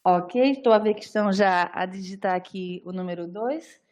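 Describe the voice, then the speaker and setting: A woman's voice: mid-range voice, normal speed, a little mellow. A young adult woman with a Brazilian Portuguese accent, a nutritionist presenting an online talk in a friendly, explanatory way with frequent fillers.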